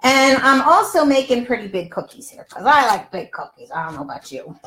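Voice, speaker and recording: Older female cooking host, on the high side, chatty and exclamatory, talking straight to viewers in a reverberant home kitchen. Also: big pitch swings, a little fast, fairly bright tone, slight background noise. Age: older, around 60s. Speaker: health coach and cooking show host. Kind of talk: casual live cooking demonstration. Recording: roomy, echoey home kitchen sound.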